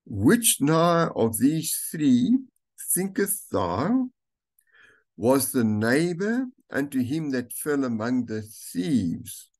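An older man, a pastor, reading scripture aloud and preaching a sermon slowly and deliberately from a room at home. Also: mid-range voice, big pitch swings, darkish tone. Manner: slow, deliberate reading with stretched words. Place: home room with curtains, indoors.

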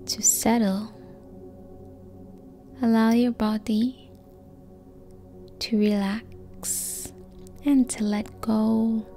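A woman guiding a sleep meditation in a soft, breathy, near-whispered voice, calm and gentle, in short phrases with long pauses. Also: mid-range voice. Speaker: yoga nidra meditation guide. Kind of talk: slow guided sleep meditation.

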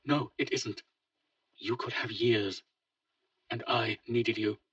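Man doing a grieving grown son, husky, halting and pleading, dull recording from afar. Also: mid-range voice, fairly even intonation, a bit bright, no room sound, pitched above the performer's own voice. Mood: tender. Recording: dull lo-fi, distant mic.

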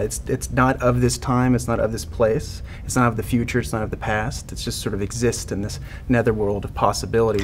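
A man in his thirties giving measured, reflective answers in a panel interview. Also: lowish voice, a little hiss or hum. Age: 30s. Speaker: interview panelist, concert producer. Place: indoor studio set.

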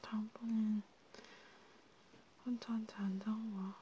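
A woman's voice: mid-range voice, little pitch movement, a little slow, mellow, a little hiss or hum, natural voice. Woman playing a whispering cautious vampire healer. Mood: hushed concern. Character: cautious female vampire healer tending a hunted friend. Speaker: ASMR role-player. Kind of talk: whispered ASMR role play.

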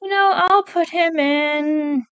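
A woman's voice: sits high, slow, a bit bright, no room sound, highs cut off. A woman casually talking through a ranking of game characters, hesitant and self-correcting as she reads out wiki quotes. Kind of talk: casual commentary while reading a wiki.

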